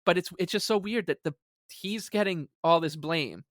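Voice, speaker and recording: A man's voice: sits high, normal speed, fairly bright tone, dry recording, studio quality. A man co-hosting a podcast in casual sports talk, speaking in an exasperated, emphatic, sarcastic way. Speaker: podcast co-host.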